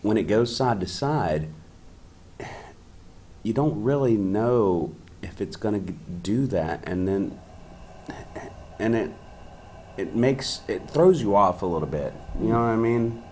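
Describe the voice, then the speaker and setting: A man's voice: on the low side, expressive, measured pace, long pauses, darkish tone, a little hiss or hum. A man co-hosting a live stream in casual chat, joking and playful.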